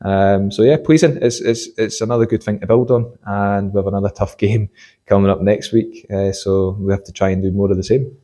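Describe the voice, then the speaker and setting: A young man, an assistant football manager, giving a measured post-match interview with many fillers, in a stadium corridor. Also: fairly low pitch, steady tone, mellow. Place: corridor by a sports changing room.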